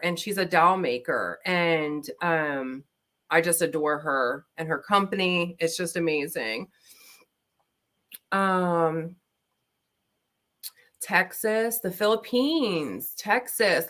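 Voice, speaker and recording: A woman's voice: lowish voice, fairly bright tone. A woman in her thirties from Baltimore, chatting casually and rambling as she does her makeup on a livestream at her laptop. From Maryland, USA. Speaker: beauty vlogger. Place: home, at her desk with laptop. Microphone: laptop built-in mic.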